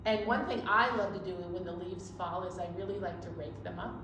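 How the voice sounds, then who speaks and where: A woman's voice: on the low side, fairly even intonation, fairly quick. An American woman chatting casually to young children as a storytime co-host. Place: indoors in a library. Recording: very echoey room with faint background noise.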